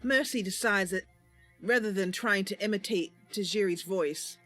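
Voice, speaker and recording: A woman's voice: medium pitch, moderate pace, a bit bright, no echo, soft background music. A woman streamer narrating a roleplay story and casually commenting on the game she is playing.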